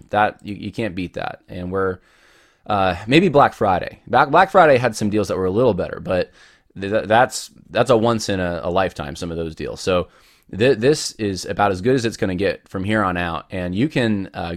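A man hosting his own podcast, talking casually and rambling with many restarts while he has a cold. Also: sits low. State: has a cold, voice deeper than usual. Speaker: podcast host. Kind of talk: casual solo podcast talk.

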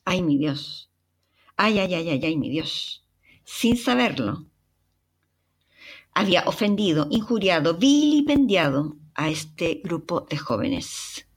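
A young Chilean woman with a Chilean accent and a breathy voice, dramatically telling a personal travel story as a podcast narrator. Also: on the low side, takes it slowly. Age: young adult. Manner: expressive, dramatic retelling with sighs and exclamations.

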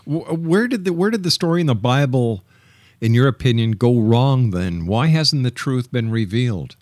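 A man's voice: mid-range voice, animated, moderate pace, a little mellow, dry recording. A man hosting a radio talk show, introducing and interviewing in a polished, announcer-like way. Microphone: close studio microphone.

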